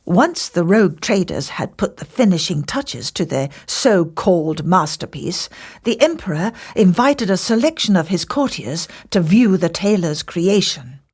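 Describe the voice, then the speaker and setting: A woman reading a children's fairy tale as scripted narration, with deliberate, theatrical phrasing. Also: on the low side, very animated, darkish tone, breathy edge. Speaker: storyteller.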